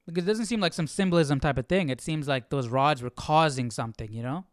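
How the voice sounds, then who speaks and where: Clear, higher-pitched man thinking aloud. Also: quick pace, no echo, studio quality. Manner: informal, questioning, thinking aloud. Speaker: host of live Bible study. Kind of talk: casual conversational commentary.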